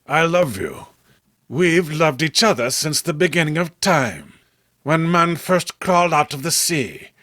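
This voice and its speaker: A man's voice: on the high side, animated, unhurried, sharp tone, no room sound, studio quality, full character voice. A man voicing an obsessed, proud recluse in a horror comic read-along, intense and melodramatic. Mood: rapturous.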